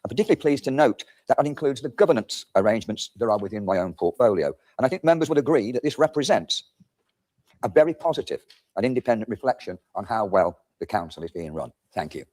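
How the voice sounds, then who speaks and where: A man's voice: on the low side, animated, fast talker, darkish tone. A man speaking as a councillor in a formal, carefully worded meeting speech. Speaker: councillor and portfolio holder. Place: council chamber.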